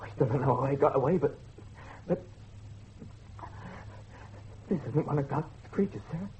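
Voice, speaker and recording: An actor playing a frightened rustic villager in a horror audio drama, telling of dark things in a dramatic, fearful way. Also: male voice, a little high, moderate pace, natural voice. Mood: terrified. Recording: muffled lo-fi recording with faint noise.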